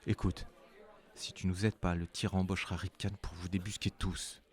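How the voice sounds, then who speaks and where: A man's voice: deep voice, level tone, normal speed, breathy edge. A man playing a blunt, authoritative military captain who threatens in colloquial speech, in acted drama dialogue. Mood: firm, threatening. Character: strong, weary military captain of noble authority, pressuring an informant.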